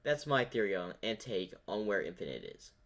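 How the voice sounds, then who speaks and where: A man's voice: fairly low pitch, big pitch swings, brisk, fairly bright tone. A man giving casual commentary on a fan comic dub, thinking out theories in a rambling, speculative way. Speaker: reaction video host.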